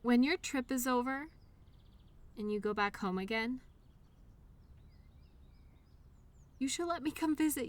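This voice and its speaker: A woman playing a loyal childhood imaginary friend in an audio roleplay, speaking in an earnest, reassuring, gently encouraging way. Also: on the high side, no echo. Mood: fond, hopeful. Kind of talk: one-sided audio roleplay, intimate scripted conversation.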